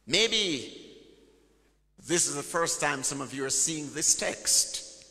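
A man's voice: on the high side, lively intonation, slow, bright, forceful tone. A man preaching a sermon in a church, emphatic and call-and-response, drawing out his key words. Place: large church hall. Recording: long church hall reverb.

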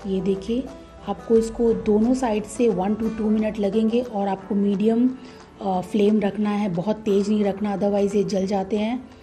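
A woman giving calm, step-by-step cooking instructions as a voice-over, with frequent "uh" fillers. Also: mid-range voice, a little slow, faint music behind. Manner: calm, instructive, many "uh" fillers, mixes English words into Hindi. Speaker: cooking channel host. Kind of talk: recipe voice-over while cooking.

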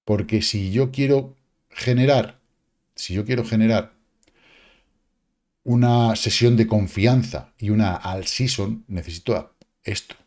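A man, casually commenting on crypto charts in a lively, slangy way, with exclamations and sound effects, recorded at a home desk. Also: fairly low pitch, a little slow, long pauses. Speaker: crypto market analyst. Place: home studio, indoors. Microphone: webcam framing, seated at desk.

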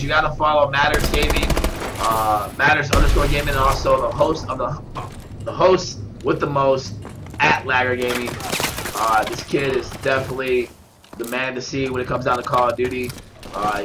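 A man with a stuffed-up nose, commentating live at a gaming tournament, getting excitable on big kills. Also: mid-range voice. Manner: excitable, shout-outs to viewers, bursts of hype on kills. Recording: noisy with game gunfire behind.

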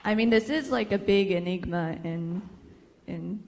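A woman's voice: mid-range voice, unhurried, darkish tone, a little hiss or hum. A woman physicist answering questions on a panel in a lecture hall, thoughtful with many fillers.

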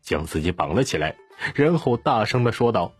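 Deep, animated male audiobook narrator. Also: normal speed, breathy edge, faint music behind. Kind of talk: scripted story narration.

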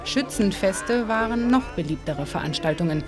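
A woman narrating a documentary in a calm, measured, informative way. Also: medium pitch. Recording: voice-over with music behind. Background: music.

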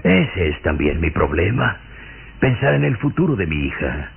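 Gravelly aging gentleman father. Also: low voice, big pitch swings, moderate pace, faint music behind, exaggerated voice. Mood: musing, probing. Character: aging father, fussy, stern, indignant gentleman. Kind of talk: old radio serial acting. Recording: tinny old radio serial recording.

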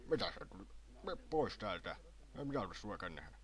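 A man's voice: lowish voice, big pitch swings. A man voicing a grumpy, befuddled old man in an amateur anime fan dub, muttering and querulous.

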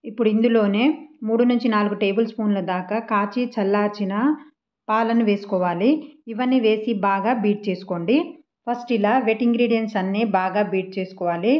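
A woman with a Telugu accent talks the listener through each baking step in a polite, unhurried way. Her voice sits in an ordinary middle range and is recorded as a slightly muffled voice-over. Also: medium pitch, fairly even intonation. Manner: polite, patient instructional tone with frequent respectful endings. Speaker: cooking channel host.

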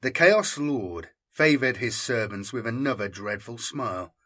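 Dramatic guy reading a grim sci-fi audiobook, slow, husky, ominous delivery. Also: mid-range voice, expressive, sharp tone. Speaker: audiobook narrator. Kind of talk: dramatic scripted narration of dark science fiction.

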